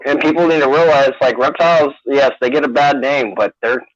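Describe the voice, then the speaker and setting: An excitable man in his thirties co-hosts a livestream, swearing casually as he talks. The audio is dull and lo-fi, with a dry, close sound and a mid-pitched voice. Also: a little fast, dry recording. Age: 30s. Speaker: co-host.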